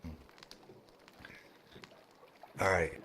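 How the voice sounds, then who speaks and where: A man with a deep voice who runs a roleplay world, talking casually to his group, halting, with many fillers and restarts. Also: fairly bright tone, slight background noise. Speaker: roleplay game world organizer.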